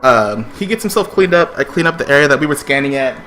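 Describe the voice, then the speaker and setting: A man's voice: medium pitch, animated, fast talker, pushed and bright, soft background music. A man telling a personal story on a stream in a casual, slangy, profane way, acting out the quotes.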